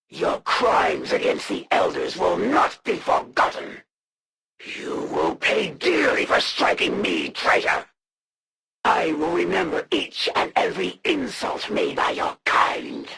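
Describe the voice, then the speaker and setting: A woman voicing a proud, menacing female alien assassin in a video game, speaking in a haughty, formal, taunting manner with a gravelly voice. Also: fairly low pitch, a bit bright, exaggerated voice. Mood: scornful, threatening. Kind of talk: video game villain voice lines.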